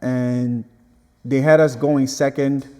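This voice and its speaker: A man's voice: mid-range voice, steady tone, slow pace, warm tone, smooth, in a large hall. A young man from Angola, whose first language is Portuguese, chatting earnestly in an interview and restarting his phrases. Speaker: guest artist. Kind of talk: casual interview chat.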